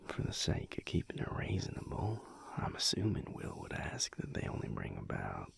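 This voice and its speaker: Man acting a gravelly, murmuring Southern vampire lover. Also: huge pitch swings, normal speed, whispered, heavily acted. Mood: relaxed gossipy. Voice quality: breathy low murmur, gravelly. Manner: drawling, lazy, teasing. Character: laid-back affectionate male vampire lover, adult, teasing. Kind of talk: intimate audio roleplay, whispered pillow talk.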